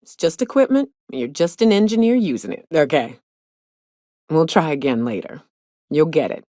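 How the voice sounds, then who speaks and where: Brash, low-voiced frontier mercenary. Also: female voice, lively intonation, moderate pace, dark tone, dry recording, clean studio sound. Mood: steady, blunt. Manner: folksy, drawling, salty and sardonic phrasing. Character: hard-drinking, trigger-happy frontier mercenary woman, brash and loyal. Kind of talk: video game character dialogue.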